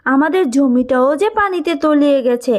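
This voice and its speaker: Woman playing a high-voiced, plaintive cartoon mother. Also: animated, normal speed. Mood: doubtful. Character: worried, poor, gentle mother of young girl. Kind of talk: cartoon story dialogue.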